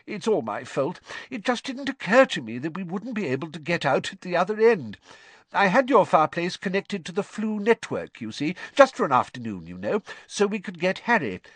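Guy doing a high, eager wizard dad, chatty and scatterbrained, bouncy. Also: big pitch swings, moderate pace, dry recording. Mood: apologetic. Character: excitable, vague middle-aged wizard father.

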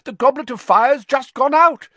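A man voicing a cheerful, easygoing boyish official in an audiobook, with a breezy, excitable manner. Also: extremely high pitch, normal speed, no echo, pitched above the performer's own voice. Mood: protesting.

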